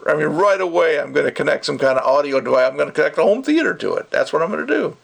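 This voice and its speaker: An older man with a gravelly, creaky voice giving a casual, rambling review in an opinionated, emphatic way. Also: a little high, animated, very fast. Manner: opinionated, rambling, emphatic, acts out mocking quotes. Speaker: audio gear reviewer. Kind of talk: casual ranting video review.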